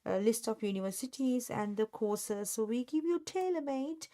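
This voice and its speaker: A woman's voice: fairly high pitch, animated, normal speed, mellow. A woman talking as a study abroad consultant, hesitant with fillers and restarts. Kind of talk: casual promotional talk.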